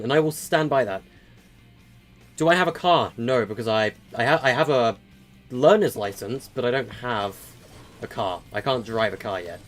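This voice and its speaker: A man's voice: mid-range voice, a bit bright. An eighteen-year-old man streaming a game live, chatting loosely with viewers, with many fillers and stutters. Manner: rambling, with frequent fillers and stutters. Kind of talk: casual live-stream chat answering viewers.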